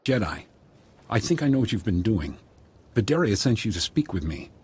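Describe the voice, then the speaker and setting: Low-voiced, zealous guard captain. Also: male voice, big pitch swings, moderate pace, a little mellow, slightly rough, exaggerated voice. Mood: conspiratorial. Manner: insistent, persuasive. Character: scheming hard-nosed guard captain, zealous and scornful. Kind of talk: video game voice acting.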